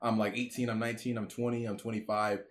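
A man's voice: lowish voice, flat delivery, fast, a little hiss or hum. A man in his twenties hosting a podcast, chatting casually and laughing often. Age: 20s. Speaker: podcast host. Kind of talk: casual chat.

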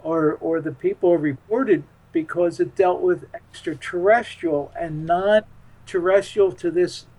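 An American man in his seventies with a fairly high-pitched voice. He talks as an interview guest, reminiscing in a loose, halting ramble. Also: a little mellow, rough recording. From Philadelphia, United States. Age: 70s. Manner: rambling, halting, chuckles while talking. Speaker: interview guest, UFO witness.

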